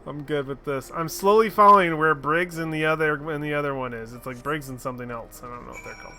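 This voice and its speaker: A man's voice: fairly high pitch, fast, pushed and bright, faint music behind. A man streaming a game, chatting casually with goofy, laughing asides. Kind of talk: casual gameplay commentary.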